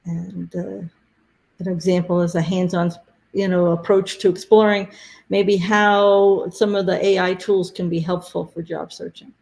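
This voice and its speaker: A woman presenting conference deadlines and tracks in a webinar, talking haltingly with many fillers, her voice going hoarse. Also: fairly low pitch, flat delivery, a little mellow. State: losing her voice.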